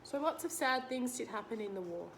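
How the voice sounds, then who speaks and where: Woman, fairly high voice, children's read-aloud, simple teacherly explanations, slight background noise. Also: animated, brisk. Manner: explanatory, asks the listener simple questions.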